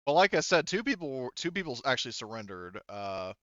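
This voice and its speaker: A man working as a game master, running a tabletop roleplaying game casually and thinking aloud in a halting way. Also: medium pitch, sing-song, a bit bright, no echo, dull audio.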